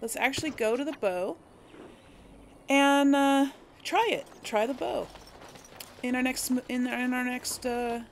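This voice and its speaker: A woman's voice: high-pitched, steady tone, slow pace, pauses often. Casual chatty woman, noisy background. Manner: chatty, informal, thinking aloud. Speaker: let's player hosting a gameplay series. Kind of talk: casual gameplay commentary to viewers.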